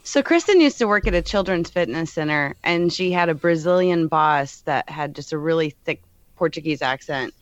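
A woman appearing as a musician guest on a podcast, retelling stories with animated enthusiasm. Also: low-pitched, normal speed, no echo, rough recording. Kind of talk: casual storytelling.

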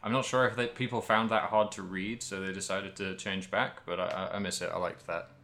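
A man's voice: deep voice, little pitch movement, a little fast, sharp tone. A man co-hosting a podcast, chatting casually about video games in a rambling, hesitant way and often correcting himself. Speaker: podcast co-host.

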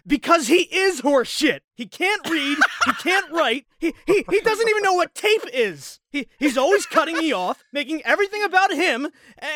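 A man talking informally and wordily as a guest voice actor in a casual, animated chat. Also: very high voice, no room sound, clean studio sound.

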